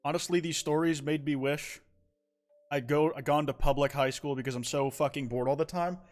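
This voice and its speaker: A man's voice: a little high, fairly even intonation, normal speed, faint music behind. Rambling profane young male streamer. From United States. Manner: rambling, profane, many restarts. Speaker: streamer reading viewer confessions. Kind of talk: casual live-stream chat.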